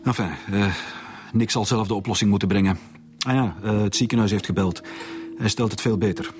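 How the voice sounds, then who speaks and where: An actor with a Flemish accent playing a cautious, officious police director in a radio drama, speaking formally. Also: male voice, fairly low pitch, steady tone, brisk, darkish tone, soft background music, close to an everyday voice. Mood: brisk, official.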